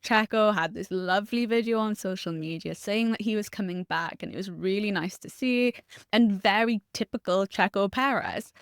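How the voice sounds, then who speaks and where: A woman hosting a solo race review show, chatting candidly and laughing now and then, her voice a little croaky from a cough. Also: medium pitch, moderate pace, no room sound, clean studio sound. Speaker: motorsport channel host.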